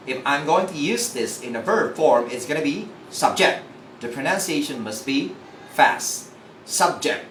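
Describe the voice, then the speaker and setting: A man teaches English word pronunciation in an animated, jokey way, quizzing his listeners as he goes. His pitch swings widely. He sounds set back from the mic in an echoey room with steady background noise. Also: far from the mic. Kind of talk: classroom-style lesson.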